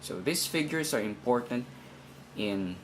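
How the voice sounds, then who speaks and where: A man giving an informal step-by-step tutorial in a halting, deliberate way, often repeating himself. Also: medium pitch, animated, slight background noise, poor audio. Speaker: instructor in a how-to video.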